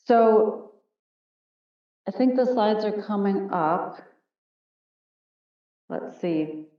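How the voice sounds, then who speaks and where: A woman hosting and moderating a panel on a video call, friendly and full of ums and quick asides. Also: medium pitch, expressive. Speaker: webinar host. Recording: roomy, distant, dull video-call audio.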